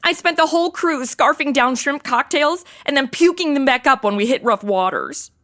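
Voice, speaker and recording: A woman's voice: sits high, lively intonation, normal speed, pushed and bright. An actress playing a brash, flippant, clueless young modern party woman with slangy talk in a comedic audio drama. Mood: sardonic.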